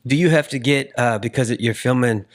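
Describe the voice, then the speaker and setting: A man's voice: mid-range voice, fairly quick. A man hosting a podcast, asking questions in a casual, conversational interview style.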